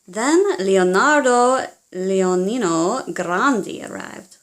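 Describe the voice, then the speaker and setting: A woman reading a children's storybook aloud in a theatrical, expressive way, as if speaking directly to young listeners. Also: medium pitch, slow. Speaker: children's audiobook reader.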